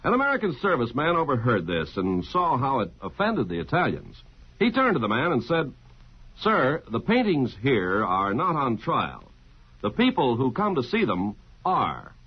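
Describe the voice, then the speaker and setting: A man's voice: medium pitch, huge pitch swings, normal speed, husky. A man working as a radio announcer, reading scripted narration in a polished, formal 1950s broadcast manner. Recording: muffled vintage radio broadcast recording.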